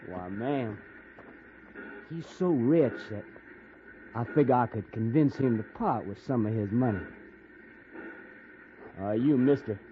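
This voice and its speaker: A man acts out a menacing American train robber boss in an old radio western. The voice sits at a middling pitch, bossy and folksy, on a tinny, narrow recording with faint noise. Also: animated, a little slow, long pauses, natural voice. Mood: smugly menacing. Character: menacing, greedy male train robber gang leader. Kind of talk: 1950s radio western acting.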